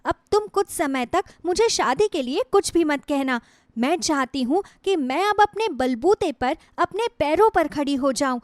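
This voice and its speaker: A high, plaintive young woman from a cartoon moral tale, acted by a woman. She speaks earnestly and anxiously, as someone troubled. The recording is close and dry. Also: lively intonation, measured pace, no room sound. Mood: hurt, resolute. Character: sad, anxious young woman with five braids. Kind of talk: Hindi moral story cartoon acting.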